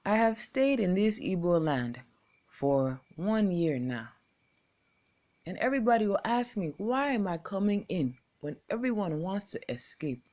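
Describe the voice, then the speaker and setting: A young American woman reciting a poem and making a slow, deliberate appeal as a contest entrant. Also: mid-range voice, sing-song, darkish tone. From United States. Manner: slow, deliberate, measured phrasing. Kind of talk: recorded poetry recital and appeal.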